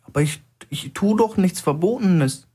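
A man voicing a nervous, timid man with a shaky, stuttering, shrill way of talking. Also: expressive, normal speed, mellow, slightly rough. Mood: panicked.

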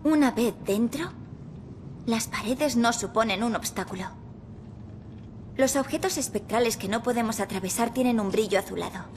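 A woman's voice: on the high side, moderate pace, a little mellow, slight background noise, close to an everyday voice. Woman playing a cryptic ghost guide, faint ambience. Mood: calm, instructive. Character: cryptic, stern female ghost guide, calm and knowing. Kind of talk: video game cutscene acting in Spanish dub.

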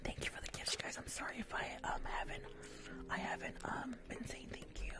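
Softly whispering young woman. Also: brisk. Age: young adult. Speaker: ASMR creator. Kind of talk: soft whispered ASMR aside to viewers. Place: small decorated room indoors. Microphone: fluffy-covered microphone, close up.

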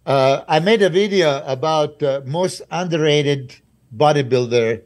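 Hesitant man with a slightly high voice. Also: unhurried. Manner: hesitant, many uhs. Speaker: guest. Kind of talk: casual video-call chat. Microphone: video call.

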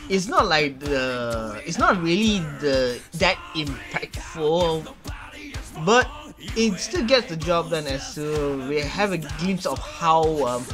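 A young man hosts a casual reaction video from a small room at home. His delivery is animated in pitch but halting, with words often repeated while he searches for the next. Clear trailer music plays behind him. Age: young adult.

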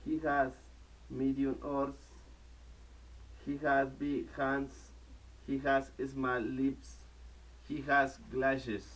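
A young man from Colombia in his twenties, speaking English with a Colombian Spanish accent as a learner and reciting a self-introduction word by word with pauses. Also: mid-range voice, flat delivery, a bit bright, distant mic, poor audio. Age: 20s. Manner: halting, word-by-word delivery with pauses. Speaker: student. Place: small living room at home. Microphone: camera in front of the speaker.

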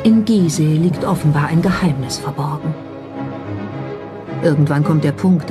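Documentary narrator, medium pitch, scripted delivery over prominent music. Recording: music behind the voice. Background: background music.

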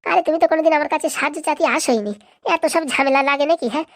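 A woman's voice: high voice, rapid-fire, no room sound, clean studio sound, close to an everyday voice. A woman voicing a bossy, boastful, scheming female power broker in a cartoon, brash and commanding. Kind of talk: amateur cartoon voice acting.

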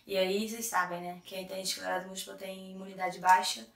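A young Brazilian woman with a hoarse cold voice, chatting to camera as a vlogger in a chatty, self-interrupting way. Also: medium pitch, little pitch movement, fairly quick, a bit bright, distant mic. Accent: Brazilian Portuguese. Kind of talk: casual chat to camera. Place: small room indoors. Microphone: camera in front at medium distance. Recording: echoey room, a little off-mic.

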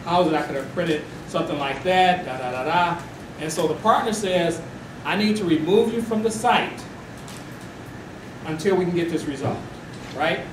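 A man from Detroit giving a loud, animated talk to a room, with no mic. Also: a little high, normal speed. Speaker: guest speaker. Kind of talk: storytelling presentation. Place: dim lecture room. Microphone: far camera, no mic. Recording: echoey room from far off, hiss.

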